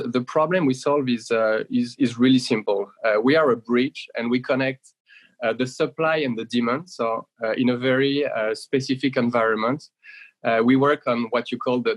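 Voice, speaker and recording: A man's voice: medium pitch, poor audio. A man in his thirties, a company founder, explaining his business in an interview with frequent fillers and repeats. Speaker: startup founder and guest.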